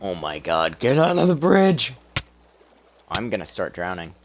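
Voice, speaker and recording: A man's voice: on the low side, big pitch swings, measured pace, slight background noise. A young man streaming a game, talking casually through his play with a tired, strained voice and a chatty, self-deprecating manner. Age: young adult. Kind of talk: casual gameplay commentary.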